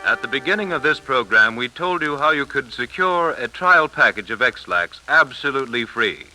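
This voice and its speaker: A man's voice: mid-range voice, lively intonation, normal speed, over music. An American man reading a 1930s radio commercial as announcer, with polished, emphatic salesmanship. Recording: scratchy 1930s radio broadcast.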